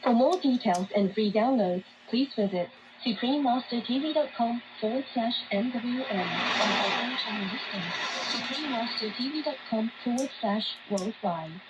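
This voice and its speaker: A woman's voice: fairly high pitch, animated, moderate pace. A woman reading a scripted channel announcement over a lo-fi, noisy shortwave broadcast.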